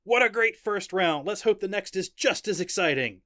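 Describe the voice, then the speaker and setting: A man voicing an excited, bombastic male sports announcer in an audiobook, stretching words for emphasis. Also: sits high, moderate pace, no room sound, studio quality, voice pushed up. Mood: hyped up. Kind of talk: arena sports commentary in an audiobook.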